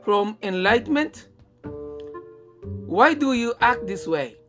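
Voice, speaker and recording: A performer acts out an angry cult superior in a radio drama. The figure accuses with stern rhetorical questions, delivered slowly and loudly. Music plays underneath. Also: medium pitch, very animated, pitched above the performer's own voice. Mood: angry accusing.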